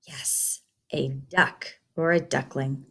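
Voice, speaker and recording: A woman reading a children's picture book aloud in a simple, deliberate, instructive way, putting questions to the listener. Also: extremely low pitch, fairly even intonation, pushed and bright.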